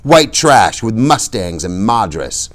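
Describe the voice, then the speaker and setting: A man's voice: mid-range voice, sing-song, a little fast, pitched above the performer's own voice, lightly acted. A man doing an edgy teenage tough kid. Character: tough panicky teenage boy narrator.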